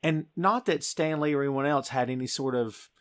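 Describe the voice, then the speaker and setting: A man's voice: fairly high pitch, moderate pace. A middle-aged American man hosting a podcast, talking about film in a casual, emphatic, conversational way. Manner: emphatic, conversational, addresses listener as "dude". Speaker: podcast host.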